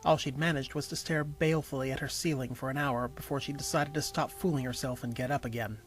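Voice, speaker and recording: A man reading scripted story narration as a storyteller, in an expressive way. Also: mid-range voice, fast talker, a little hiss or hum. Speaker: narrator and voice actor.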